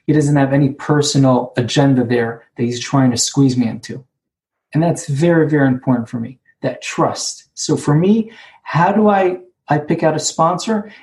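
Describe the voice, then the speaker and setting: A man's voice: mid-range voice, darkish tone, poor audio. Earnest man with a Hebrew accent. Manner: halting, repeats words, earnest. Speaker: recovery program speaker. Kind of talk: personal share at an online conference. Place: sitting alone, remote.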